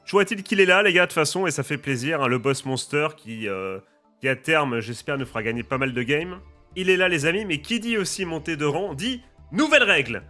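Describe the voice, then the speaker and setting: Bright, lively man with a slight cold. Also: a little high, brisk, soft background music. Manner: lively, addresses viewers as friends and guys. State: a bit of a head cold. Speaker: gaming YouTuber and streamer. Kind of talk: casual chatty rules explainer.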